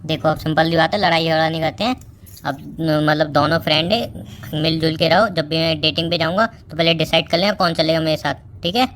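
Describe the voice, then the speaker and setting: A man plays a teasing, unapologetic young boyfriend in a phone-call drama. He talks quickly, dodging blame and stubbornly justifying himself as he argues. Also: a little high, steady tone, fairly bright tone, a little hiss or hum, lightly acted. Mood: unrepentant, teasing. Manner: argumentative, self-justifying.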